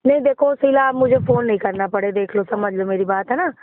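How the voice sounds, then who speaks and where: A woman's voice: on the high side, fast. A woman with an Uttar Pradesh accent talking informally on the phone, bossy and insistent, repeating herself. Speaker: government office clerk. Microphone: phone line. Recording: narrowband tinny phone call recording.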